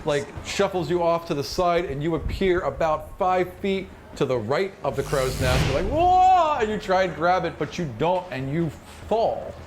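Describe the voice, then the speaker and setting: A man improvising colloquial, animated narration as game master of a tabletop fantasy game. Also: fairly high pitch, moderate pace, hardly any pauses. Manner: animated, graphic, colloquial storytelling. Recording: noisy room recording. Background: clearly audible background noise.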